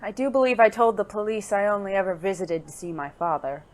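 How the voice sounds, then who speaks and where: An actor playing a suave, genteel gentleman secret agent in an audio drama, with formal, refined phrasing and a playful, flirtatious, teasing manner. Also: mid-range voice, steady tone, brisk, a little hiss or hum, natural voice. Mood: composed, explaining.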